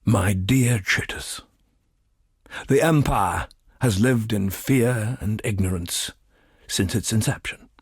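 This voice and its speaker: Arrogant obsessive sorcerer, deep, creaky, deliberate, grandiose, dark fantasy game. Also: male voice, no echo, studio quality, heavily acted. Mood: fervent, persuasive. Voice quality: gravelly, husky, breathy. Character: arrogant, obsessive male thaumaturge, fervent and scheming. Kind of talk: dramatic scripted game monologue.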